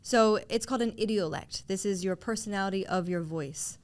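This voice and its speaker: A woman who works as a voice coach, explaining ideas and exercises in a clear, instructive way during a casual conversation. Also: medium pitch, brisk. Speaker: voice coach, guest.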